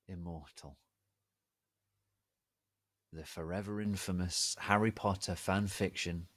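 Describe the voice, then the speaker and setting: A man giving a theatrical dramatic reading as narrator, with deliberate, mock-solemn, melodramatic emphasis. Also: low-pitched, no echo. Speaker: narrator reading fan fiction aloud.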